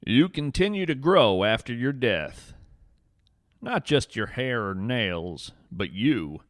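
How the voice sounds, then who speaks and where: A man playing a whimsical, earnest veteran naturalist adventurer, narrating a scripted fiction podcast with theatrical, deliberate phrasing. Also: medium pitch, very animated, a bit bright, no echo, studio quality. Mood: solemn, hushed.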